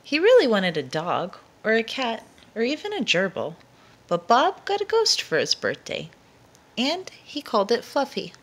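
Animated woman reading a children's storybook. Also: a little high. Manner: deliberate, dramatic emphasis for young listeners. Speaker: storyteller reading a picture book aloud. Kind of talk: expressive children's read-aloud narration.

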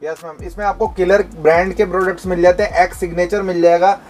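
A man in his thirties, a wholesale shop owner, giving a sales pitch to the camera inside a busy cosmetics shop, listing brands and prices over and over. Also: level tone, fast, a little mellow, soft background music.

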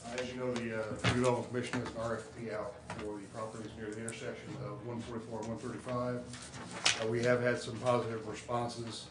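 An American man gives a hesitant report in a fairly low, even voice. The recording is echoey, with clear room noise behind him. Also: fast. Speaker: staff member reporting to a council. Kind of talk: halting spoken report. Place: indoor public meeting room. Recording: echoey, noisy meeting room audio.

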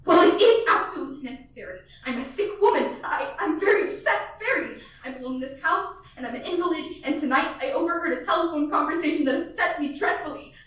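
A woman's voice: high-pitched, lively intonation, fast, few pauses, a bit bright. A teenage girl acting a frantic, bedridden woman alone at night in a school radio play, anxious and pleading. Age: teens. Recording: echoey, distant, dull classroom recording.

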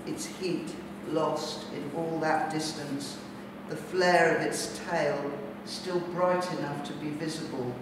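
A middle-aged woman with a British accent, a poet speaking to an audience in deliberate, measured phrases. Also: low-pitched, fairly even intonation. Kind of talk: poetry reading and reflective talk to an audience. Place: large bare brick-walled gallery room, indoors. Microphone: distant camera microphone. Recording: very echoey, noisy gallery hall, distant mic.

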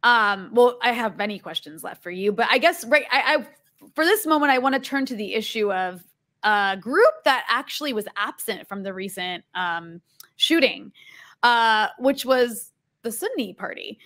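A young woman hosting an interview, asking measured, friendly questions with a few hesitations at a studio microphone. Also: on the high side, lively intonation, a bit bright. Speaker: interviewer host. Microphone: studio mic on a stand.

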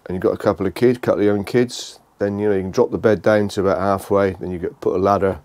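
A British man giving a casual, unscripted walkthrough tour, speaking haltingly and repeating phrases as he goes. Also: low voice, steady tone, a little mellow. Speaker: vlogger giving a motorhome tour.

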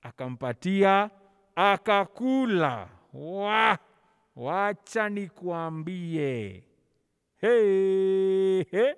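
A Kenyan man tells a Bible story to children in a high-pitched voice. He performs it theatrically, acting out the dialogue and mixing languages casually. His voice rings through a church hall with long reverb. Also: expressive, drawn-out words, clean tone. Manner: code-switches casually between languages. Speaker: church school teacher. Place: large church hall, on stage. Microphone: handheld microphone, held close over face mask.

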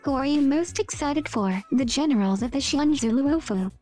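A woman's voice: sits high, expressive, normal speed, warm tone. A woman narrating scripted meme captions as the host of a video. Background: background music.

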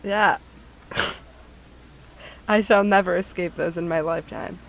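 A woman's voice: on the low side, takes it slowly, gravelly, a bit bright, low-quality recording. A woman streaming a game, chatting casually with friends and reading its story text aloud.

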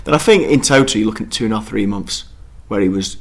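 A young man from the co-hosts a fan podcast, arguing his points eagerly and circling back when he is unsure. His voice sits fairly low, and he talks into a desk mic in a small studio room. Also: quick pace. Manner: animated, opinionated, repeats himself when unsure. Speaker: podcast co-host. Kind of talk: casual football chat. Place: small studio room with brick wall and curtain.

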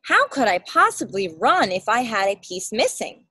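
A woman's voice: medium pitch, sing-song, moderate pace, bright, forceful tone, breathy edge, no room sound, studio quality, exaggerated voice. A woman voicing big, daring storybook creatures who answer with emphatic disbelief. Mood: incredulous. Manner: incredulous, emphatic.

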